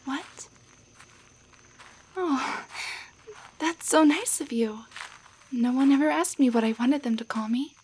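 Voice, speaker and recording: A woman playing a shy, grateful young waitress turned into a tiny doll in an intimate roleplay audio drama, speaking softly and haltingly, often near a whisper. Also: high voice, slight background noise. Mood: touched, shy. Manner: hesitant, halting, stammering.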